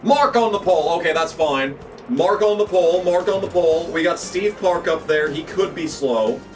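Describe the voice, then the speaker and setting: A young man, hoarse from losing his voice, commentating his racing game run with frequent swearing and excited outbursts. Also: on the high side, moderate pace, distant mic, faint music behind. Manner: excitable, swears often, jumps into yelps when the race turns. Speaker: gaming channel host. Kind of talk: gameplay commentary.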